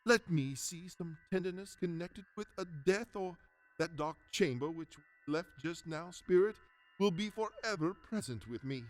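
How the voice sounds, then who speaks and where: A man's voice: high-pitched, normal speed, close to an everyday voice. A man playing a shaken, pleading old miser in a radio-style drama. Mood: anguished pleading. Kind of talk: dramatic storybook narration and radio-play acting.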